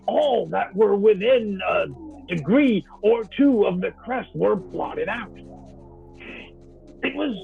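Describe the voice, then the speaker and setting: A male actor playing a troubled man recounting uncanny events from his journal in an old-time radio drama, deliberate, halting and brooding. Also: high voice, gravelly, phone-quality sound, full character voice.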